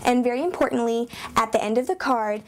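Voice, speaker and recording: A woman's voice: fairly high pitch, brisk, slight background noise. A young woman explaining things step by step as a student news anchor. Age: young adult. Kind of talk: explanatory presenting on a student newscast.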